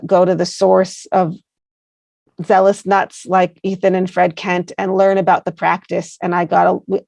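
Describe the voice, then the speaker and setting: A woman's voice: on the low side, gravelly, darkish tone, no room sound. A woman with an American accent, answering interview questions earnestly, with many fillers and rambling asides. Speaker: guest, placemaking founder.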